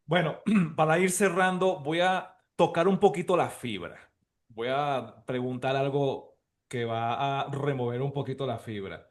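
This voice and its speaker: A man's voice: mid-range voice, measured pace. A man hosting a casual group conversation and putting questions to the others. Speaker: host. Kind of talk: casual panel moderation.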